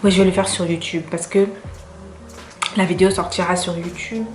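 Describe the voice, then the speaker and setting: A woman's voice: on the low side, level tone, moderate pace, echoey room, faint music behind. A woman from Cameroon with a French accent, chatting to her viewers in a casual vlog, repeating words for emphasis. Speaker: vlogger.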